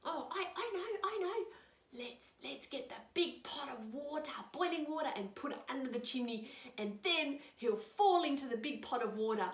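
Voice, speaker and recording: A woman's voice: high-pitched, expressive, normal speed. A woman playing the three little pigs in a children's storytime, squealing and childlike. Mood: hushed. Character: three little pigs, small, timid but defiant. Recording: echoey room, voice far from the mic.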